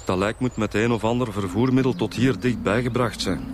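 An actor playing a matter-of-fact police detective in a radio drama, speaking with a Flemish accent. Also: male voice, lowish voice, a little fast, noisy background, natural voice. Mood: pondering.

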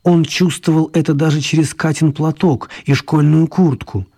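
A man's voice: fairly high pitch, fairly even intonation, normal speed, mellow. A man narrating a children's radio story in a scripted storytelling style.

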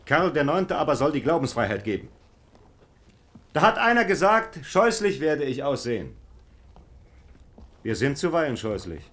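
An actor playing a fervent, idealistic gentleman diplomat, declaiming earnestly in a German radio play. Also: on the high side, sing-song, normal speed, long pauses.

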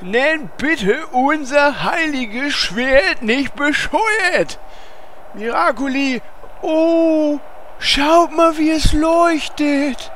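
A man playing a naive, pure-hearted, excitable warrior in a comedic audio drama, earnest and childlike. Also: extremely high pitch, drawn-out words, slight background noise, exaggerated voice. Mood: awed, earnest.

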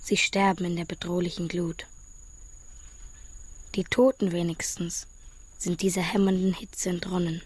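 An actress playing a melancholy, exhausted wanderer, breathy and half-whispered, as an inner monologue in a radio play. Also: female voice, on the low side, level tone, measured pace, long pauses, darkish tone, dry recording.

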